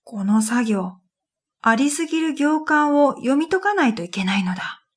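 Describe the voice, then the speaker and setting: A woman's voice: high voice, lively intonation, moderate pace. A woman narrating a scripted first-person story for a radio drama in an introspective, matter-of-fact manner. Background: music.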